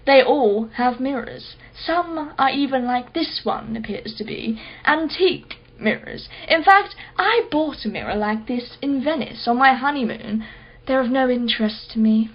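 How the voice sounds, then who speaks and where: Woman acting high-voiced, mocking lady customer. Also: animated, unhurried, muffled recording. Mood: haughty, teasing. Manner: sarcastic, wordy, theatrical. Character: wealthy mocking woman customer, skeptical and theatrical. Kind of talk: radio play acting.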